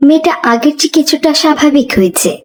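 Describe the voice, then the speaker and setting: High-voiced woman narrating a children's story. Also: expressive, quick pace, darkish tone.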